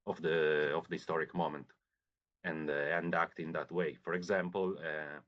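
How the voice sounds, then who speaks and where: Low-voiced man. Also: level tone, a little slow, fairly bright tone, highs cut off. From Italian-speaking Switzerland. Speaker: interview guest. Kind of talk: halting explanation in a second language.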